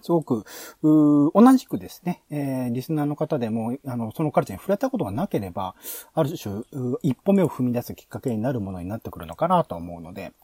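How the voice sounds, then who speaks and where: A male podcast host talks through plans casually. His voice is mellow and a little husky, and the pitch moves around a lot. He hesitates often, drawing out filler vowels and rephrasing mid-thought. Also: very animated, dry recording. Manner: halting, with drawn-out filler vowels and self-corrections. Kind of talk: casual planning talk, explaining proposals.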